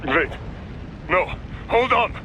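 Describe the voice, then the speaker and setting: High, gravelly, desperate comrade. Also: male voice, unhurried, very noisy. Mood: alarmed, pleading. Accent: Eastern European. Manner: urgent, pleading. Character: distraught Eastern European man, devoted lover and comrade. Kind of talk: video game cutscene acting.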